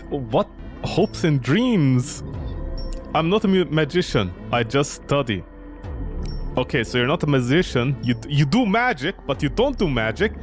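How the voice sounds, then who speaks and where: Animated, high-pitched man doing incredulous game commentary. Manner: incredulous, animated, mocking reactions. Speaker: video game streamer. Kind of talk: gameplay commentary, reading visual novel text aloud and reacting.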